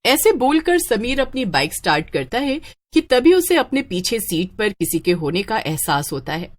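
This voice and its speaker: A woman narrating a scripted horror story in a dramatic, expressive way. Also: a little high, moderate pace.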